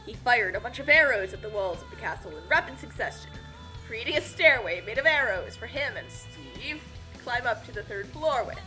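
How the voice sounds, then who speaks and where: A woman's voice: on the high side, animated, moderate pace, sharp tone, soft background music, low-quality recording. A woman telling a comedic story as a scripted narration, casual and tongue-in-cheek.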